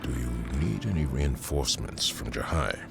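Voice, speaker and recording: A stern, shrewd general in a fantasy game. The voice is extremely low and gravelly, measured and skeptical. Music runs behind it. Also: male voice, lively intonation, full character voice. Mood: stern, doubtful. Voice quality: very deep, gravelly. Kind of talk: dramatic video game cutscene acting.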